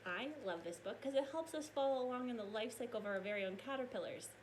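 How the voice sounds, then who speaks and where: A woman teacher reading aloud and explaining science to young children in an expressive, explanatory way. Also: on the high side, quick pace, a little hiss or hum.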